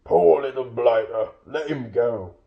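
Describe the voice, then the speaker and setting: A man's voice: medium pitch, unhurried, creaky, muffled recording. A man voicing a big, drunken, dim troll in a storybook, suspicious and blustering, with coarse rustic speech. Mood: pitying. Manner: coarse rustic dialect, dropped aitches, blustering.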